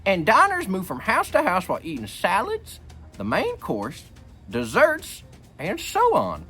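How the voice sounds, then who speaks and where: Folksy old grandpa storyteller, played by a man, very animated pitch swoops, wisecracking memoir read-aloud, faint music behind. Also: unhurried, husky, full character voice. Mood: folksy storytelling. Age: older. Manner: rambling, repeats himself, wisecracking. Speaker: book reader. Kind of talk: reading a book aloud with rambling comic asides.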